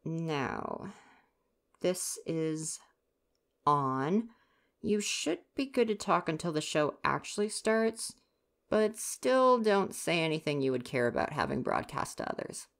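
A woman's voice: low voice, very animated, slow pace, heavily acted. A young woman playing a grumpy, teasing but secretly caring theater stagehand in a casual ASMR roleplay, sarcastic and chatty. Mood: brisk, teasing. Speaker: roleplay voice performer. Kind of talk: ASMR roleplay, casual one-sided dialogue.

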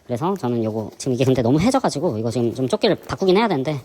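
A man with a hoarse voice from a sore throat, hosting a fishing channel and chatting through his gear in a casual, explanatory way. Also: medium pitch, big pitch swings, racing, warm tone. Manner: chatty, explanatory, many fillers. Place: indoor gym.

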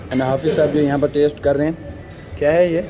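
A man's voice: a little high, steady tone, a little fast, muffled recording. A young man with a Pakistani accent casually reviewing food as a vlogger, informally mixing in English words. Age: young adult. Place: large indoor restaurant. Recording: loud restaurant chatter behind the voice. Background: busy crowd chatter.

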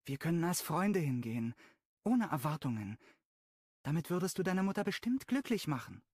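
Man putting on a bright young woman's voice, bubbly, eager, lively pitch, dry audiobook sound. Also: normal speed, husky, no room sound, heavily acted. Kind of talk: audiobook dialogue.

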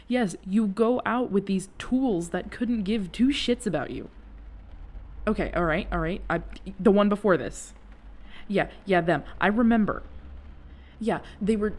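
A woman's voice: medium pitch, a little mellow, natural voice. Woman acting a protective, exasperated close friend. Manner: halting, repetitive, emphatic. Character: protective, exasperated friend secretly in love, driving someone home. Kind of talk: intimate ASMR audio roleplay acting.

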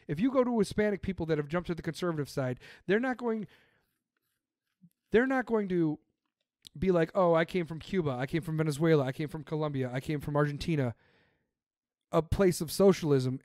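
A man's voice: a little high, darkish tone, no room sound, clean studio sound. A man on a podcast, ranting emphatically about politics with plenty of swearing. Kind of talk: casual political chat.

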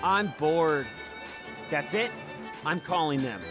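Game role: young elite soldier, brash yet earnest, unhurried delivery, music underneath. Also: male voice, fairly high pitch. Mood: restless, agitated. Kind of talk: video game cutscene acting.

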